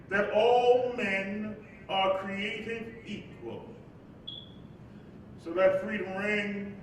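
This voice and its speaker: Civil rights preacher, eloquent, slow oratorical delivery, reflective, stage play, distant echoing hall. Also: male voice, high-pitched. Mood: solemn, soaring. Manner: measured, deliberate, preacherly cadence. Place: live performance in an echoing hall. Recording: very echoey hall, distant mic, faint noise.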